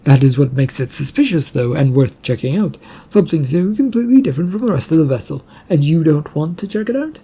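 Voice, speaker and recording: Male reader as shipboard computer for audio fiction: creaky, nagging, hesitant and evasive. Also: fairly high pitch, animated, fast, warm tone. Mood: pressing.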